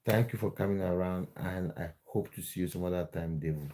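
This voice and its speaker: A man's voice: low voice, a little fast, dark tone, a little hiss or hum. A man from Cameroon hosting a casual football livestream, chatting with viewers and often restarting his words. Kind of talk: casual live chat with viewers.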